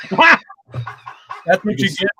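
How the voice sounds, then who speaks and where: A man's voice: high voice, sing-song, extremely slow, sharp tone, slight background noise. A man chatting casually and teasingly as a panelist on a video game podcast. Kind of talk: casual chat.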